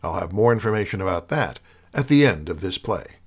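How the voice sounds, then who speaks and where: A man hosting an audio play series, giving a scripted theatrical introduction in a measured, formal, wry way. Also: deep voice, expressive, breathy edge. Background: music.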